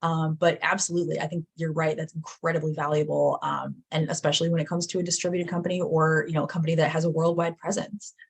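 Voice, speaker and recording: A woman's voice: deep voice, little pitch movement, fast talker, vocal fry, few pauses, breathy edge. A woman from the United States hosting a casual interview, chatty and full of "you know" and "like". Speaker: host.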